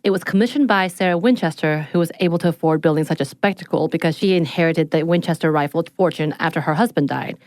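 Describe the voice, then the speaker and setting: A woman's voice: low-pitched, fast, few pauses, a little mellow, no room sound, rough recording. A woman from Korea co-hosting a podcast in casual chat, with playful, giggly asides. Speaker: podcast co-host.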